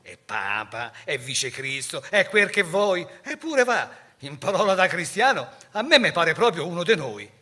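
Irreverent Roman commoner, Roman accent, theatrical verse, high voice, very lively melody. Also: male voice, moderate pace, few pauses, heavily acted. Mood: mocking, irreverent. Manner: mocking, colloquial, emphatic. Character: irreverent Roman commoner man sizing up the Pope.

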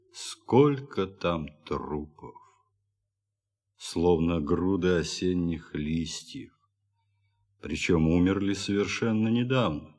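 A grave ship's doctor in a theatrical radio play. His deep voice moves slowly, with weighty pauses and a sombre manner. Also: darkish tone, exaggerated voice. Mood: sombre, shaken. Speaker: actor in audio play. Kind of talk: theatrical radio drama acting.

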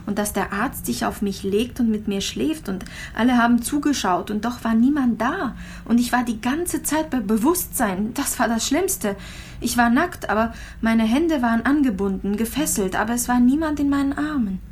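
An actress playing a gravely ill, lonely young woman in a radio drama, speaking haltingly as she recalls things and repeats herself. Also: fairly high pitch, lively intonation, a little mellow, slight background noise, lightly acted. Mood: haunted. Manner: halting, searching, repeats phrases. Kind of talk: radio drama monologue, intimate recollection. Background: music.

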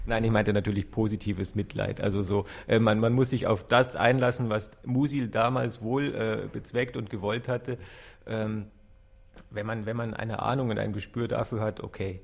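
A man's voice: on the low side, fairly even intonation, normal speed, gravelly. A man giving an informal interview answer about a book, hesitant as he puts it together.

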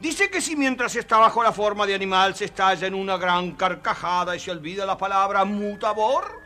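A man's voice: high-pitched, a little fast, sharp tone, soft background music. An actor playing a learned, servile male sage in a children's story record, muttering mock Latin with theatrical ceremony. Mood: ceremonious, learned.

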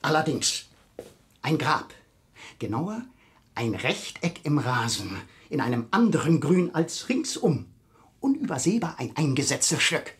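A man's voice: fairly high pitch, big pitch swings, a little slow. An actor playing an agitated, stubborn married man in a radio crime drama, insistent and suspicious in his delivery.

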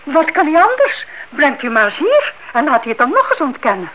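A woman playing a flustered, indignant middle-aged aunt in a radio drama, excitable and defensive. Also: high-pitched, a little fast. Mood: indignant, agitated. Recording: dull, noisy old radio recording.

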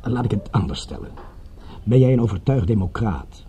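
An actor playing a shady crook in a radio play, blunt and controlled, with an insinuating menace. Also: male voice, fairly low pitch, sing-song, normal speed, warm tone, a little hiss or hum. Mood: cool, menacing. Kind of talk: Dutch radio drama acting.

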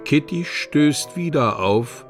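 A man narrating a literary radio play from a script in a measured, precisely enunciated way. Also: a little high, animated. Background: music.

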